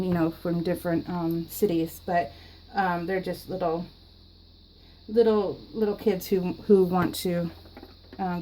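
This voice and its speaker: A woman's voice: lowish voice, darkish tone, a little hiss or hum, low-quality recording. Chatty middle-aged woman, casual craft vlogger. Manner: giggly, frequently laughing, rambling anecdotal storytelling. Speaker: scrapbooking vlogger. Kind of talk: casual chatty voice-over of a craft process video.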